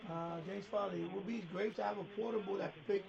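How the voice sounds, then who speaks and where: A man's voice: high voice, fairly even intonation, quick pace, gravelly, recorded from a distance, muffled recording, crowd noise. A man who hosts a hobby radio live stream, chatting casually and musing aloud in a hesitant way.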